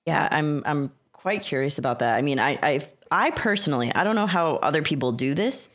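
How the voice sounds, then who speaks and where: A mid-pitched voice chats casually on a gaming podcast. The panelist talks hesitantly, thinking aloud along the way. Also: lively intonation, fairly quick, a bit bright.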